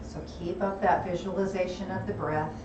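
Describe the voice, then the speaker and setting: A woman teaching a gentle yoga class, calmly cueing breath and movement, her voice a little gravelly and hoarse. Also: lowish voice, normal speed, dull audio. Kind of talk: gentle guided yoga instruction. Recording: roomy, distant, music behind.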